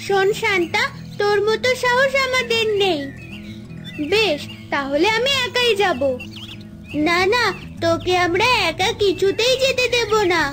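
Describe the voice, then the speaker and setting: A woman's voice: extremely high pitch, lively intonation, a little slow, music in the background. A woman voicing young girls on a forest adventure in a cartoon fairy tale, bickering and dramatic.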